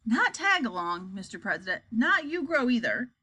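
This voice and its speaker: A nervous male official from a comic storybook, acted by a woman, deferential and a little shaky. He insists politely in a bright, swooping voice. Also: on the high side, very animated, moderate pace, slightly rough, exaggerated voice. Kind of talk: comic children's storybook character voice.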